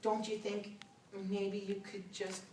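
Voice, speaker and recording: A man's voice: sits high, flat delivery, slow pace, a little mellow. A man playing an earnest nine-year-old American farm boy, pleading in a folksy, stammering theatrical monologue. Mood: eager, earnest. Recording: roomy, distant mic with background noise.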